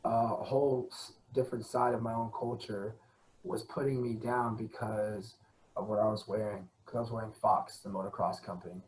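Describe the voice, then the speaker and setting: A man's voice: fairly low pitch, level tone, normal speed, a little mellow, slight background noise, poor audio. A young man talking animatedly and passionately as a guest in a casual conversation, often breaking into laughter. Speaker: podcast guest.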